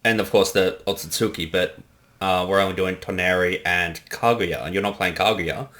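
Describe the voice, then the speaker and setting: Low-voiced man giving casual creator commentary. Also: normal speed, fairly bright tone. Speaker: director and voice actor giving commentary.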